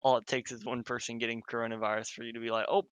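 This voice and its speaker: Bright-voiced young American man, dry sound. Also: medium pitch, little pitch movement, very fast, clean tone, dry recording. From United States. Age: young adult. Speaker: podcast co-host. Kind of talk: casual opinionated discussion.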